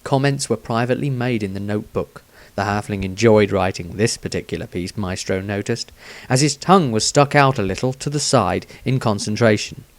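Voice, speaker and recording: A man reading his own fantasy story as scripted narration, in a deliberate, dramatic manner. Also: on the low side, no echo.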